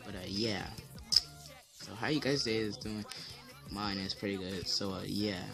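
A teenage boy with a deep voice giving casual gameplay commentary as channel host, rambling with many fillers and restarts. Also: steady tone, unhurried, music in the background.

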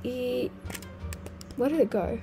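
A teenage girl with a slightly croaky, sick-sounding voice, chatting casually over her gameplay and exclaiming at things she finds. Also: a little high, slow. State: croaky voice from a cold. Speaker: gaming video host. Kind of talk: casual game commentary. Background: faint game music.

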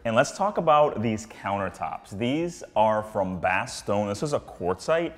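A man's voice: lowish voice, expressive, a little slow. A man in his thirties presenting a casual walkthrough of a home as its host, recorded indoors in an apartment. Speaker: house tour host, renovator.

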